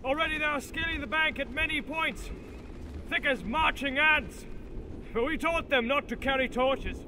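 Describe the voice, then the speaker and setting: A man voicing an urgent soldier reporting from battle in a fantasy audiobook, hurried in manner. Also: very high voice, background noise, pitched above the performer's own voice. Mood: urgent, breathless. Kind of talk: dramatized audiobook character reading.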